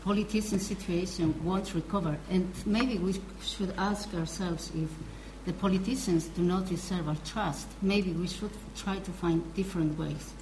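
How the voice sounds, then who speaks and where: A woman's voice: on the low side, steady tone, normal speed, few pauses, darkish tone, slight background noise, poor audio. A woman journalist from Spain on a conference panel, earnest and searching for words.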